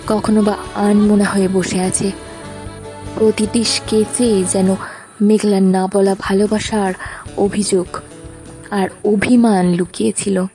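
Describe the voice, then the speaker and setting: Slow, mellow woman narrating a story. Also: mid-range voice. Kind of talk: scripted romantic story narration.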